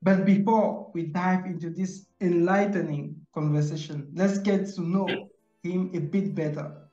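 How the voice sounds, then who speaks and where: Mellow-voiced male host in an echoey room. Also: high-pitched, steady tone, unhurried, faint music behind. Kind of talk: scripted interview hosting. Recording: echoey, dull lo-fi sound.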